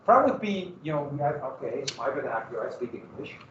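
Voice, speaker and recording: A man's voice: mid-range voice, very animated, quick pace, fairly bright tone, slight background noise. A man with a Montana accent giving a casual, rambling classroom history lecture as a teacher. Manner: casual, rambling, self-deprecating. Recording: echoey classroom from far off, dull.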